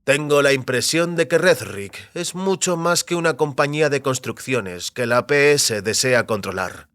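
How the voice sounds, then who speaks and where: An actor playing a wary, determined man trapped between powerful forces, speaking deliberately in an audio drama. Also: medium pitch, lively intonation, a bit bright, no room sound, natural voice. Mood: wary, bitter.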